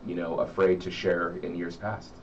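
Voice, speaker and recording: A middle-aged American man talking reflectively as an interview guest, halting and repeating words as he searches for the next one. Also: low-pitched, little pitch movement. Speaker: interview guest, horror story writer. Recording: muffled, distant mic with faint noise.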